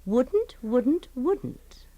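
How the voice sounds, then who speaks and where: A placating yet needling wife in a comic audio play. Her voice sits fairly high and swings widely as she chides. She has a teasing, dismissive way of soothing. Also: very animated, very slow, warm tone, heavily acted. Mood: peevish. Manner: teasing, chiding, falsely soothing. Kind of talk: comedic audio drama dialogue.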